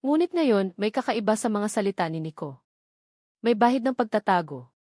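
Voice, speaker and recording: A woman's voice: mid-range voice, expressive, normal speed, a little mellow, no room sound, clean studio sound. A woman storyteller reading a scripted romantic drama in a dramatic narrating style.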